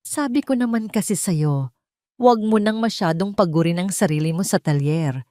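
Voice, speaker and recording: A woman's voice: fairly low pitch, normal speed, dark tone, dry recording, studio quality, close to an everyday voice. A woman with a Filipino accent voicing a deceitful husband who feigns tender concern in an audio drama. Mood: soothing, dismissive. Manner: solicitous, falsely caring.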